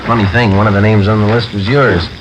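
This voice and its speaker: Deep male voice, acted, deadpan police sergeant, 1950s radio drama, clipped and businesslike, muffled old recording with music. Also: fast, background noise, natural voice. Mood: businesslike, probing. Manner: deadpan, matter-of-fact. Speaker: narrator and detective.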